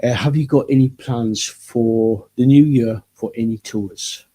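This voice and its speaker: A man's voice: mid-range voice, unhurried, mellow. A man hosting an interview, reading out viewer questions with frequent hesitant uhs. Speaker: interviewer host.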